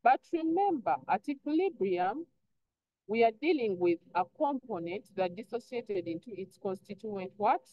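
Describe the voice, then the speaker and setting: A woman's voice: mid-range voice, lively intonation, moderate pace, no room sound. A woman teacher explaining step by step in an online lesson, instructive, often posing prompting questions and answering them herself. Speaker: chemistry teacher. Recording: dull lo-fi online class audio.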